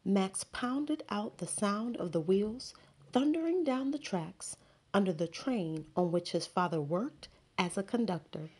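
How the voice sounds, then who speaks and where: A woman, a teacher, reading a children's picture book aloud with slow, expressive storytelling. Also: medium pitch, husky. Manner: slow, expressive storytelling with sound words and character voices.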